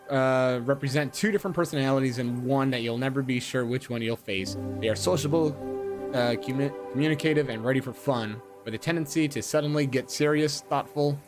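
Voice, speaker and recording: A man in his twenties reading aloud and chatting casually, rambling with fillers and repeated words, poking fun at himself. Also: medium pitch. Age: 20s. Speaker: gaming streamer. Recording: music playing underneath. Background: music.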